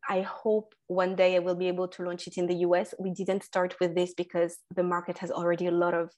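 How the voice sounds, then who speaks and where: A woman speaks as an interview guest, her pitch sitting on the low side. She talks haltingly, restarting sentences and filling pauses, and laughs often. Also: completely flat delivery, a little mellow. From France. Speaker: guest, food entrepreneur. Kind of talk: casual interview.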